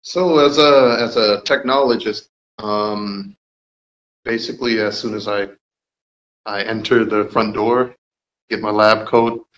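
Slow-talking low-voiced Georgia man. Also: steady tone, a bit bright, highs cut off. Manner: unhurried, measured, with pauses and "um"s. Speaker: lab technologist, guest. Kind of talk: casual interview answers.

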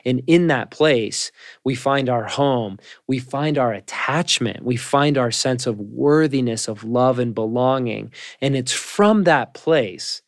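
Earnest male co-host with a preacherly cadence, mid pitch, dry studio sound. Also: slow pace, dry recording. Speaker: podcast co-host. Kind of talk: reflective faith conversation.

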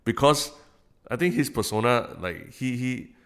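A man with a Singaporean accent on a podcast, building his points slowly, often restarting words. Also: medium pitch, animated, fairly bright tone, clean tone. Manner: thoughtful, repeats words while building a point. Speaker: podcast co-host. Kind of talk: casual podcast chat.

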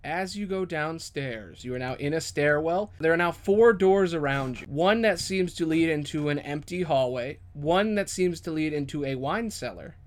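A man's voice: a little high, normal speed, hardly any pauses. A man acting as game master, improvising dramatic second-person scene descriptions for a tabletop game.

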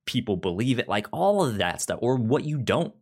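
A man's voice: medium pitch, sing-song, normal speed. A man chatting casually about books as a podcast co-host, stammering and repeating sounds and words as he goes. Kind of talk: casual book discussion chat.

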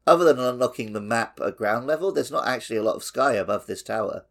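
A man's voice: mid-range voice, expressive, fast, gravelly, a bit bright. A man co-hosting a podcast, talking casually through a video game. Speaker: podcast co-host.

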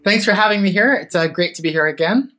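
A middle-aged Canadian man being interviewed on a podcast, talking in a measured way with frequent ums and restarts. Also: sits high, pushed and bright. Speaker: podcast guest, business consultant. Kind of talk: casual interview chat.

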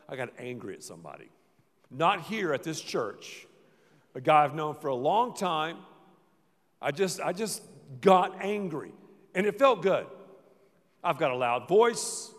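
High-pitched pastor guy giving a sermon, goes dramatic then quiet, big echoey church. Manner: playful, dramatic preaching with sudden drops to a low murmur. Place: large church hall. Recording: reverberant church hall.

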